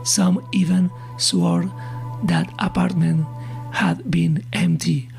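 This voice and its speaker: Male storyteller, creaky voice, suspenseful scripted horror, breathy phrases, backing music. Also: high voice, fairly even intonation, takes it slowly. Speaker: story narrator.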